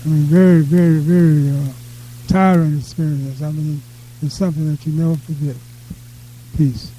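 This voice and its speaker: Very dark-voiced, reflective man. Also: on the high side, fairly even intonation, low-quality recording. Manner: reflective, halting, repeats words. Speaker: panel speaker sharing pilgrimage experiences. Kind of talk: informal personal testimony.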